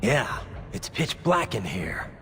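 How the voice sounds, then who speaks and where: An actor playing a gruff, sardonic cyborg swordsman in a video game, terse and gravelly. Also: fairly low pitch, sing-song, slow, a bit bright, exaggerated voice. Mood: dry, sarcastic. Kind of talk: dramatic video game voice acting.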